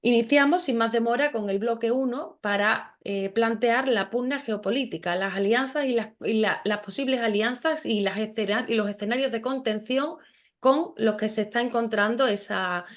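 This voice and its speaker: A woman moderating a panel discussion, reading out guest introductions in a formal, measured way with small restarts. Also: on the high side.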